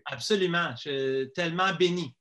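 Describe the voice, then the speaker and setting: A man from Quebec with a Quebec French accent gives a personal testimony as an interview guest. His voice is fairly high and bright. He talks conversationally, hesitating and repeating words as he goes. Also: normal speed. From Quebec, Canada.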